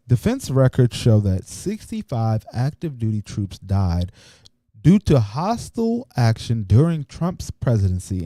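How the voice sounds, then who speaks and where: A man hosting a panel discussion, rambling and restarting his words, with loudness that swings up and down. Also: mid-range voice, dry recording. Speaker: host.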